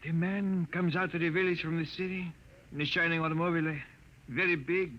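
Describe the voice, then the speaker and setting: An actor playing a young, weary Mexican laborer in a 1950s radio drama, speaking broken English with a Mexican accent. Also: male voice, a little high, steady tone, brisk, close to an everyday voice. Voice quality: broken English, hopeless and plaintive. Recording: muffled old radio broadcast with hiss.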